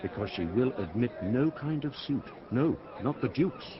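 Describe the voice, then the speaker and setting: An actor playing a loyal, frank sea captain with a reassuring, storytelling manner in a Shakespeare radio drama. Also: male voice, mid-range voice, animated, moderate pace, crowd noise, lightly acted. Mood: frank, helpful. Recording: muffled, loud outdoor ambience behind.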